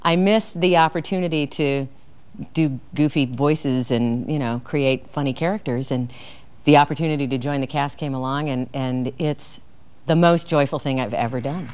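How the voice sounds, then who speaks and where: A woman's voice: extremely low pitch, normal speed, a little mellow, clear, resonant tone. A middle-aged woman who performs in a comedy troupe, chatting casually in an interview.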